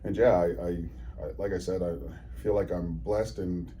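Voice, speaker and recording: A man in his forties with a very low, warm and mellow voice, talking as an interview guest. He sounds easygoing and earnest, circling back on his words and restarting his sentences. A faint hiss of noise sits under his voice. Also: steady tone, normal speed. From New Zealand, raised in Ontario, Canada. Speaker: guest, charity executive. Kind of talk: casual interview conversation.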